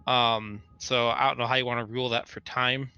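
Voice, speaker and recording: A man's voice: medium pitch, little pitch movement, brisk, sharp tone, clean tone, no echo, muffled recording. A man chatting casually as a player in a tabletop roleplaying game.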